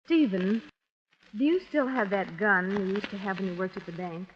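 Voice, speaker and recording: An actress playing an eager, coaxing wife in an old-time radio crime drama. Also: female voice, mid-range voice, animated, moderate pace, natural voice. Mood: earnest. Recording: muffled, noisy old radio drama recording.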